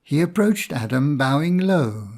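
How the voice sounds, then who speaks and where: A man narrates a storybook tale at a slow pace. His delivery is measured and expressive, in the manner of an old-fashioned storyteller. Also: on the high side.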